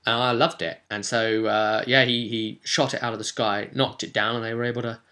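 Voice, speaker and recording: A man's voice: medium pitch, flat delivery, a little fast, sharp tone. A man giving a casual, unscripted game master's recap, telling it in a rambling, enthusiastic way and often correcting himself.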